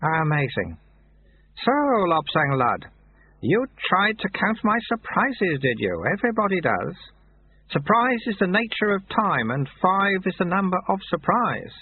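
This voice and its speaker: A man's voice: sits high, big pitch swings, slight background noise, voice pushed up, heavily acted. A man doing a cheerful little old monk. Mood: amused, wise. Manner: amiable, unhurried, gently teasing. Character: cheerful little old bald male temple sweeper. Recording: tinny phone-like sound.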